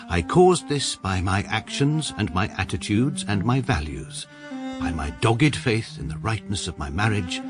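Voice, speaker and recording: An actor with a British accent playing a stiff, pedantic upper-class lawyer, narrating and speaking formally and precisely. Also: male voice, sits low. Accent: British English. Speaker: narrator and lead. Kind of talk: radio comedy drama.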